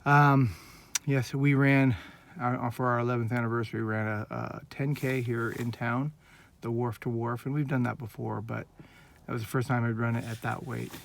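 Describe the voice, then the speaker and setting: A man's voice: mid-range voice, flat delivery, moderate pace, creaky, hardly any pauses. A man in his forties from Northern California, talking in an earnest, rambling, heartfelt way in a casual personal vlog, recorded on a handheld phone. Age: 40s. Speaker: vlogger.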